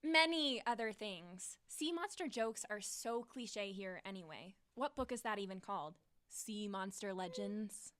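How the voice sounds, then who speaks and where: Sardonic high school girl, mid voice, fed up, audio drama. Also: lively intonation, a little slow, fairly bright tone, a little hiss or hum. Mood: exasperated. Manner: dry, sardonic. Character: exasperated, sarcastic teenage schoolgirl.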